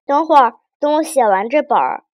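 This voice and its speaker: Lady doing a little schoolboy for a kids story, high voice, shouty and impatient, dry close sound. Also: expressive, a little fast, dry recording. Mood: busy, impatient. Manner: calls out loudly and impatiently. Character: harried young schoolboy, urgent and eager.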